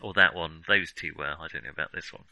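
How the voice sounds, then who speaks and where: A man's voice: very deep voice, quick pace, bright, forceful tone, dry recording. A man chatting casually and jokily about films as a podcast co-host. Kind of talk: casual film chat.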